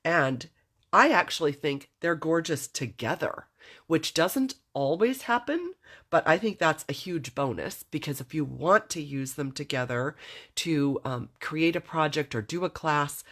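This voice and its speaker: Low-voiced chatty woman with a stuffy nose. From Southern California. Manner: chatty and friendly, laughs often between remarks. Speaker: craft demonstrator hosting a livestream. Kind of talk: casual livestream chat, greeting viewers by name.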